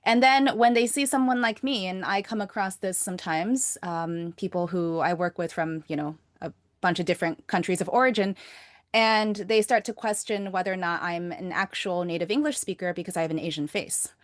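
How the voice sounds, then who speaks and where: A woman's voice: fairly low pitch, fairly even intonation, moderate pace, no echo, clean studio sound. A woman hosting a casual conversation, speaking in an earnest and reflective way. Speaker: host.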